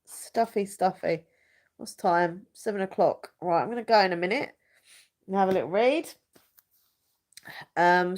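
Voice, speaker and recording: Girl with a stuffy nose, chatty live stream ramble, lots of ums, lowish voice. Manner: chatty and rambling, searches for words, many fillers. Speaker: YouTuber hosting a live chat. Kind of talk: casual rambling live chat.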